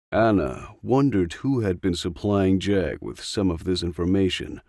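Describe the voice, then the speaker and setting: Male audiobook reader for scripted fiction narration, lowish gravelly voice, very dry recording. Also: darkish tone, dry recording.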